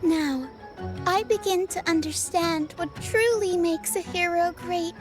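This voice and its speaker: Very high, childlike solemn seeker. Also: female voice, a little slow, music in the background. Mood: solemn, contemplative. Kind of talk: dramatic game monologue.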